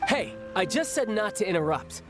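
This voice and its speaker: A man's voice: high voice, big pitch swings, moderate pace. A man playing a cocky young bank enforcer in a video game, breezy, teasing and confident. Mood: casually menacing. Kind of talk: video game character acting. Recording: game music underneath.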